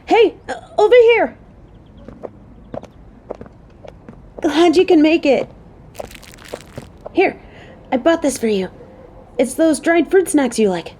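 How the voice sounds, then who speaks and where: Woman acting a playful, motherly coworker with mellow voice. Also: high voice, animated, unhurried, pauses often, a little hiss or hum. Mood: warm, eager.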